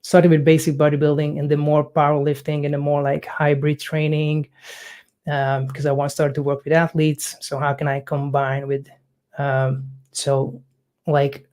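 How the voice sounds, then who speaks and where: A man's voice: a little high, monotone, a little mellow. Guy coach, young, lots of ums and uhs, casual chat. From Hungary. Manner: halting, many fillers, non-native phrasing.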